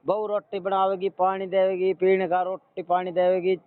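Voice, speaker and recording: A man's voice: high-pitched, completely flat delivery, moderate pace, clean tone. A man with a Haryanvi accent speaking as a caller on a phone line, in a rustic, blunt and persistent manner. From Haryana, India. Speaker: customer calling a helpline. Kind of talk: joking phone call. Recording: noisy, tinny phone call recording.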